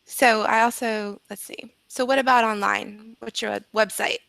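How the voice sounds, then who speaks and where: A woman's voice: on the high side, steady tone, a little slow, vocal fry, fairly bright tone, no room sound, rough recording. A woman conducting a casual live interview, chatting informally with her guests.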